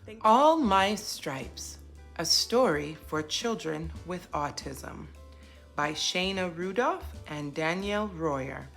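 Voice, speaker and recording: A woman presenting and reading a children's book aloud in an expressive, deliberate way. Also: on the low side, faint music behind. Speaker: children's book reader and teacher. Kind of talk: read-aloud storytelling for children.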